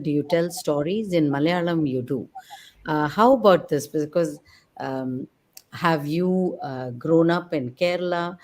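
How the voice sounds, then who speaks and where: A woman's voice: sits low, a little mellow. A woman hosting a radio interview, effusive and full of praise, with many fillers. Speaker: radio show host. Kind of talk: interview talk.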